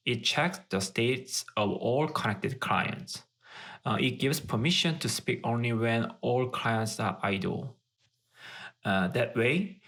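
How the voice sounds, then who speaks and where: Guy doing a tech tutorial, kinda gravelly creaky voice, goes thru it step by step. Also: medium pitch, fairly bright tone. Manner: methodical, step-by-step. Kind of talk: explanatory tutorial narration.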